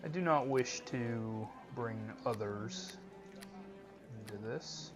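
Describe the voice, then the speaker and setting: A man hosting a video game playthrough, answering and narrating in character with deliberate, somewhat hesitant, formal phrasing. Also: medium pitch. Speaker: let's play host. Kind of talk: role-played game commentary. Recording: dull band-limited mic under game music. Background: game music.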